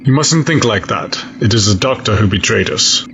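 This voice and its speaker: A man playing a stern, coldly logical fleet admiral in an audio drama, speaking in a measured, formal, grave way. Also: lowish voice, animated, a bit bright. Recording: dull lo-fi sound, audible noise.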